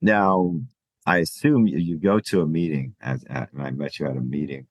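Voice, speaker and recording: A man hosting a podcast, interviewing a guest in a lively, joking way with halting restarts. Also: rumbling low, no echo, clean studio sound. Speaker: podcast host. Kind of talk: conversational interview.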